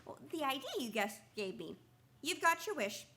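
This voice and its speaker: A woman's voice: sits high, sing-song, normal speed, pushed and bright, heavily acted. A woman playing a cheerful, naive apprentice guardian angel in a radio play, chirpy and exclaiming. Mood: excited. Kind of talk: stage-style radio play acting.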